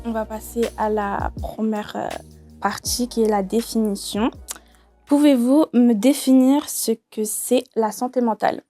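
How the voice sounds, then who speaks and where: A woman's voice: fairly high pitch, steady tone, measured pace, warm tone, faint music behind. A woman of twenty hosting a youth discussion panel, asking questions with some hesitant fillers. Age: 20s. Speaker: host.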